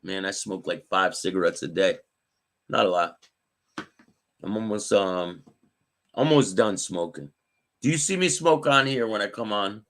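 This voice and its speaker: A man with a raspy voice hosting a live stream. He reads news aloud and chats with viewers by name. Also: on the low side, measured pace, long pauses, fairly bright tone. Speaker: host.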